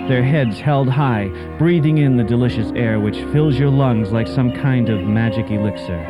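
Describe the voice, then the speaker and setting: A man hosting and narrating a scripted radio tale in a measured, literary, dramatic manner. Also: lowish voice. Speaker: host and narrator.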